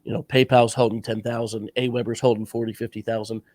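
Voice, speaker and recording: A man's voice: medium pitch, little pitch movement, fast talker, darkish tone, dry recording. Stuffed-up man with a sore throat. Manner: earnest, coaching, advice-giving. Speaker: podcast host. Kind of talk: casual interview chat.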